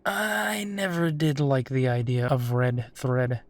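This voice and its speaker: A man's voice: medium pitch, fairly even intonation, slow pace, no echo, close to an everyday voice. A man plays a werewolf private investigator in an intimate audio drama. He takes his time over each phrase, sounding protective and fond, with a teasing edge beneath his dogged resolve. The sound is dry, with no room around the voice. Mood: matter-of-fact. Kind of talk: roleplay audio drama, intimate one-sided dialogue.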